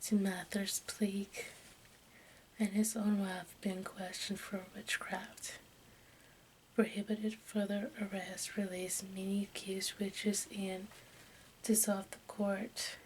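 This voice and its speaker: A woman reading aloud in a breathy whisper for ASMR, haltingly and with small stumbles over the words. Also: medium pitch, rough recording. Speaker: host of an ASMR channel. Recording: steady background noise, room ambience.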